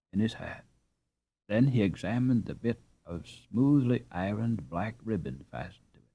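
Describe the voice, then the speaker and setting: A man reading a classic children's novel aloud as a slow, expressive audiobook narrator. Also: fairly low pitch, slightly rough, highs cut off. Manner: slow, expressive, lively intonation. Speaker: audiobook reader. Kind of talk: scripted storybook narration.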